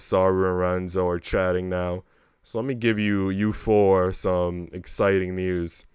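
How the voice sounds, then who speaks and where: A man's voice: low voice, clear, resonant tone, no echo, clean studio sound. A man talking casually on a livestream, rambling along in slangy speech and swearing freely. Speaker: streamer reading a visual novel aloud.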